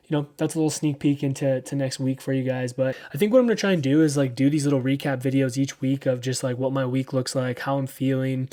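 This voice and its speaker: A man of thirty giving a casual weekly running recap, relaxed and rambling with lots of ums. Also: medium pitch. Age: 30s. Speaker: running vlogger.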